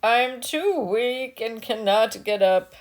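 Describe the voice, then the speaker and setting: An ailing old storybook grandmother, performed by a woman narrator. She calls out weakly, drawing out her words in a raised, very varied pitch. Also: animated, slow pace. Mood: feeble.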